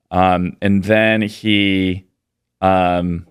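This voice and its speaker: Podcast guest: man in his thirties from Poland, very low and smooth voice, dry close sound, easygoing and rambling. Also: very slow, no room sound. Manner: relaxed, rambling, many fillers. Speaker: podcast guest, business owner. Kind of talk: casual interview answers.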